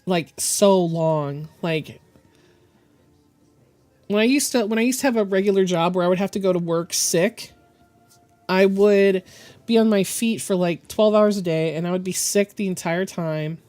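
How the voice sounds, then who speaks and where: Hoarse sick woman with a rough voice. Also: mid-range voice, a little mellow, faint music behind. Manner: halting, rambling, with frequent throat clearing. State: hoarse and deepened from bronchitis. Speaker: digital art streamer. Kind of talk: casual live-stream chat.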